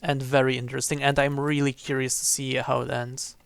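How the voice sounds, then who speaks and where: A man's voice: medium pitch, level tone, a little fast, vocal fry, slight background noise. A man giving casual livestream commentary on a game, rephrasing himself as he goes. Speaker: game streamer. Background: faint game music.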